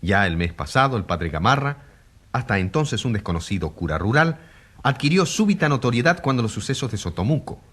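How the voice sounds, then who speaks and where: A grave radio reporter narrating a drama. His husky, mid-pitched voice swings expressively in a declamatory, rhetorical delivery. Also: fairly bright tone. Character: grave male radio reporter covering a controversial trial.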